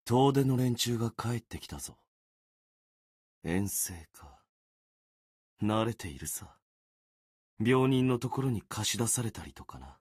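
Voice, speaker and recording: Gloomy game sword spirit dude, slow husky muttering, down on himself, super dry close sound. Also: male voice, animated, a little mellow, no echo. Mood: gloomy, resigned. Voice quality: low, husky. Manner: brooding, resigned, muttering with trailing pauses. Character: gloomy, self-deprecating young male sword warrior spirit. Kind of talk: Japanese video game character acting.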